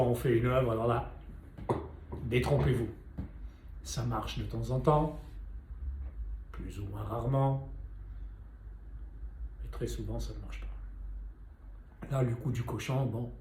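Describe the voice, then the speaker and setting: A man's voice: medium pitch, warm tone. An older man, a painter and sculptor, telling a story and talking straight to viewers in a chatty, ironic way. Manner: chatty, ironic asides, addresses viewers directly. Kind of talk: storytelling talk to camera. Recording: echoey room.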